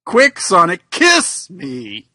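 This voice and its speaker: A man's voice: high-pitched, huge pitch swings, very slow, bright, forceful tone, no room sound, studio quality, exaggerated voice. A man voicing a freezing, needy cartoon fox who begs a friend with melodramatic pleading, in a fan fiction reading. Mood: desperate pleading.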